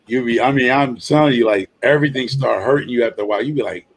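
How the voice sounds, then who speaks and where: A man's voice: mid-range voice, fast, crowd noise. A man, telling folksy stories in a casual group chat. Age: 50s. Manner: folksy, drawling storyteller. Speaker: chat participant.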